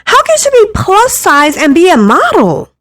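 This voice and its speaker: Woman acting incredulous high-pitched teenage girl. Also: wildly swooping pitch, normal speed, a bit bright, exaggerated voice. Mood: astonished. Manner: exclaiming, incredulous questions to herself. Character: astonished, self-conscious teenage girl, excitable and incredulous.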